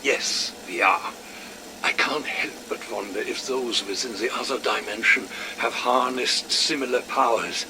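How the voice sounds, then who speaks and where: An actor playing a fussy, wry scientist with a formal, pedantic manner in an audio drama. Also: male voice, medium pitch, unhurried, very noisy, close to an everyday voice. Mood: musing. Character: fussy, wry, verbose male scientist, smugly playful.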